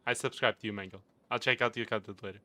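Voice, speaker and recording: A man's voice: on the low side, fairly even intonation, quick pace, sharp tone, no echo. A man with a Portuguese accent, casually chatting with viewers on a game live stream, rambling and chuckling often.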